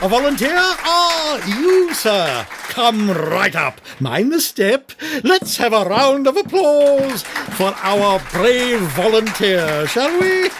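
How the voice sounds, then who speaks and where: An actor playing a showy stage hypnotist in an audio drama, theatrical and coaxing toward an audience. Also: male voice, extremely high pitch, very animated, slow pace, noisy background, exaggerated voice. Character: showy male stage hypnotist, smoothly commanding. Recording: music and crowd behind the voice. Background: music, crowd.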